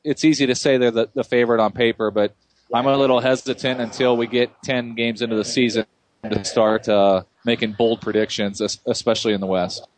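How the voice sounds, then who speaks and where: A man giving guest analysis on a hockey radio broadcast, measured and cautious, with frequent restarts. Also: mid-range voice, fairly even intonation, crowd noise.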